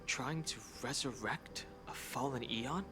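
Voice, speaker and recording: An actor voices a serious young man in a video game. His voice is mid-range, and he reasons calmly and earnestly as a loyal companion. Clear music plays underneath. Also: mid-range voice, a little slow, lightly acted. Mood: serious, resolute. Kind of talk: scripted video game voice acting. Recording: loud game music behind.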